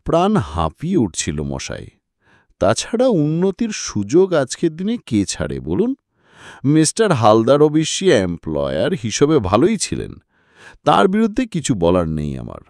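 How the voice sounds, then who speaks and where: A man acts out a chatty, grumbling private secretary for an audiobook. His pitch swings widely as he explains things in a conversational, slightly hesitant way. The sound is dry and close. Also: wildly swooping pitch, normal speed, darkish tone, no echo. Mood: matter-of-fact, candid. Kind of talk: dramatised audiobook dialogue.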